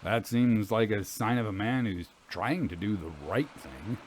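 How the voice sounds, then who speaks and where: A man's voice: lowish voice, unhurried, slight background noise, close to an everyday voice. A man playing a plain-spoken, good-humored boatman in a story, with folksy, clipped phrasing. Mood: matter-of-fact. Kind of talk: audio storytelling, acted dialogue.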